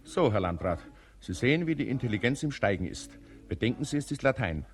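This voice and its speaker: An actor playing a blustering, tipsy village schoolmaster drilling peasants in a 1950s radio play. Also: male voice, medium pitch, lively intonation, fairly quick, lightly acted. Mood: hectoring.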